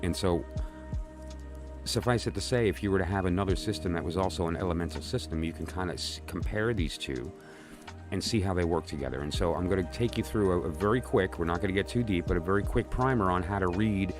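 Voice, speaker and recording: A man's voice: low voice, fast, music in the background. A man from Brooklyn hosting a tarot channel livestream, talking casually and rambling with fillers and restarts.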